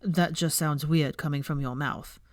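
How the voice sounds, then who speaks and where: A woman's voice: deep voice, fairly even intonation, a little slow, no room sound. A woman voicing a smirking, stubborn young wizard man in an audiobook, with a dry, taunting, muttered delivery. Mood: uncomfortable, dry.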